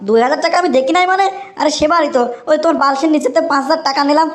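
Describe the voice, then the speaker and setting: A woman voicing a broke, scheming young man in a cartoon, talking in a fast, whiny, wheedling way, with a Bogura Bangla accent. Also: sits high, background noise, lightly acted. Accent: Bogura dialect of Bangla.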